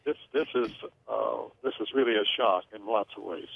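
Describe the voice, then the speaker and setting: A man speaking as an aviation analyst in a news interview, measured and hesitant with many fillers. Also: on the low side, gravelly. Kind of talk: phone-in expert interview. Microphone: phone line. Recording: tinny phone line, dry.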